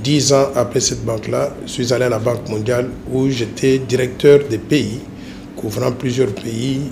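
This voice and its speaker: A man lays out his background in a formal interview. He speaks at a medium pitch, steady and deliberate, pausing now and then to gather his words. A clear layer of background noise sits under his voice. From Democratic Republic of the Congo. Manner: measured and deliberate, with hesitant pauses. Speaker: interview guest, political candidate. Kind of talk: formal self-presentation in an interview. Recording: noisy ambient background.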